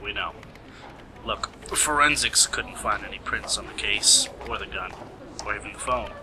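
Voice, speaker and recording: A man's voice: fairly low pitch, normal speed, close to an everyday voice. A man playing a dutiful police detective in an amateur radio play, speaking in a plain, matter-of-fact way. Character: male police detective, dutiful sidekick reporting to lead. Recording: noisy amateur home recording.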